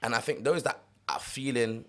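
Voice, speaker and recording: A young man from South London with a London accent, co-hosting a casual podcast chat, animated and repeating words as he gets going. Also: medium pitch, normal speed. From South London, England. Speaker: podcast co-host.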